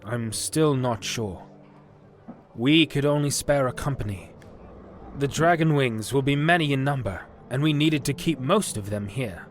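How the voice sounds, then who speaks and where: A man's voice: mid-range voice, lively intonation, a little hiss or hum, lightly acted. A man playing a grave but hopeful war leader, speaking seriously in a fantasy audio story. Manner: serious, deliberate. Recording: music behind the voice.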